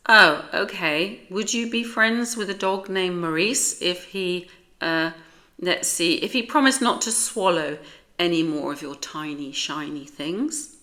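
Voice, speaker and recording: A woman plays a big, loud storybook dog who means well. He bumbles along hesitantly, eager to be friends and halting between words. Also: fairly low pitch. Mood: unsure, hopeful.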